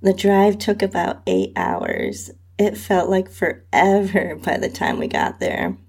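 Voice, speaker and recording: A woman's voice: lowish voice, huge pitch swings, slow pace, vocal fry, a little mellow, husky. A woman reading a scripted first-person story aloud as its narrator and host.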